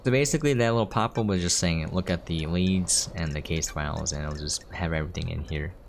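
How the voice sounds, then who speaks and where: A man's voice: deep voice, a little fast, gravelly, slight background noise. A man giving casual gameplay commentary as a streamer, explaining things as he plays. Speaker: video game streamer.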